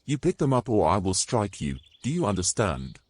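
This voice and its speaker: A man's voice: lowish voice, sing-song, normal speed, darkish tone, slightly rough, no room sound. A man playing a domineering, scornful knight in a fantasy audio drama, speaking in pompous, old-fashioned phrases. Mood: threatening.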